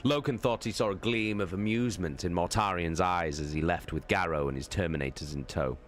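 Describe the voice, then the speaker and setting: A man's voice: low-pitched, a little fast, faint music behind. A man narrating a story expressively as the host of a dramatic reading livestream.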